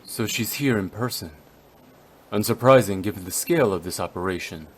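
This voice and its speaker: A man's voice: lowish voice, moderate pace, noisy background, close to an everyday voice. A man voicing a stoic, cold and brooding warrior in a video game, with solemn, formal, terse delivery. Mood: serious, guarded. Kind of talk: dramatic video game voice acting.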